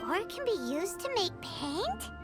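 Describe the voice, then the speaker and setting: This is a tiny, excitable fairy companion girl who refers to herself in the third person. Her voice sits extremely high and leaps around with lively, animated pitch. Loud background music from the game plays throughout the cutscene. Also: measured pace, exaggerated voice. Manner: talks about herself in third person, eager and pushy. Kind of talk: video game voice acting.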